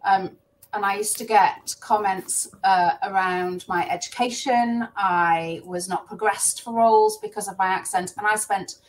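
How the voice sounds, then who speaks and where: A woman's voice: medium pitch, fairly even intonation, measured pace, distant mic, slight background noise, poor audio. A woman in her thirties with a strong Midlands accent, speaking directly on a panel. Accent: Midlands English. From English Midlands. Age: 30s. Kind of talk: panel discussion.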